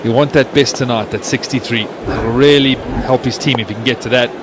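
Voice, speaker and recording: A man giving live cricket commentary, rising to excited shouts on close chances. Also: mid-range voice, fairly quick. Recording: noisy stadium broadcast.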